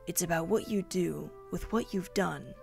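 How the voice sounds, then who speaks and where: Resolute vengeful male fantasy hero, played by a woman, lowish creaky voice, speechifying delivery. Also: moderate pace, a little mellow, faint music behind. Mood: earnest relief. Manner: earnest, speechifying, dramatic. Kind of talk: fantasy audio drama acting.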